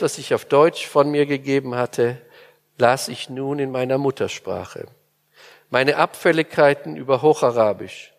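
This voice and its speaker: A man's voice: mid-range voice, long reverb tail. A man reading as a brooding exiled poet. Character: bitter exiled male poet, middle-aged, brooding. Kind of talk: audiobook reading.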